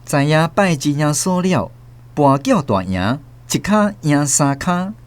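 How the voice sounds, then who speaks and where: A man with a Taiwanese accent narrates a story for radio. His voice sits a little high and swings widely in pitch, in a vivid storytelling style. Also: animated, a little slow, no room sound.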